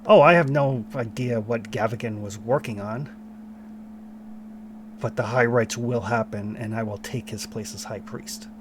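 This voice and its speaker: A man game master voicing a calm, scheming woman cult leader whose first language is Arabic, cool and courteous. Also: mid-range voice, unhurried, a little hiss or hum, lightly acted. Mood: cool, polite.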